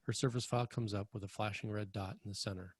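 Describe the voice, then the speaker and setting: A man reading screenplay stage directions as scripted narration, with a husky, gravelly voice. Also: fairly low pitch, fairly even intonation, quick pace, dry recording, clean studio sound.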